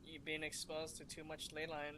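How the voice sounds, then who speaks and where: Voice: medium pitch, monotone, moderate pace, crowd noise. A streamer giving casual gameplay commentary in an offhand, muttering way. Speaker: game streamer. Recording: loud game music behind voice.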